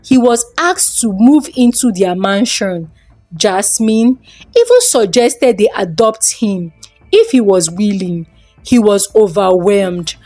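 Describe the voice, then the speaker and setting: A woman's voice: medium pitch, expressive, slow pace, warm tone, faint music behind. A woman storyteller giving a dramatic scripted narration of a folktale.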